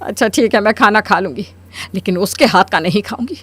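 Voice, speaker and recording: A woman's voice: medium pitch, a little fast, fairly bright tone, breathy edge, no echo. A woman playing a stubborn, scheming middle-aged mother-in-law in a Hindi cartoon story, with a theatrical, scolding delivery. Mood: angry, stubborn.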